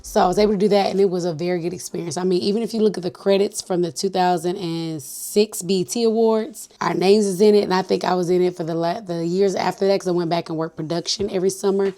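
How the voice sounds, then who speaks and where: A woman, chatting in a bubbly, animated way in a casual get-to-know-me vlog, a little stuffy from sinus congestion. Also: lowish voice, fast talker, few pauses. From Nashville, Tennessee. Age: 30s. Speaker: vlogger.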